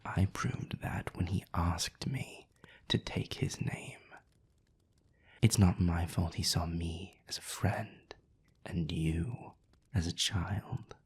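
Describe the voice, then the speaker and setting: A man voicing a haughty, scornful vampire with a raspy, breathy voice in a scripted audio drama. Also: deep voice, takes it slowly, a little mellow, in a whisper, full character voice. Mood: mocking. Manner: haughty, cutting, sardonic. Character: scornful, condescending male vampire, bitter rival. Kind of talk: scripted audio roleplay acting.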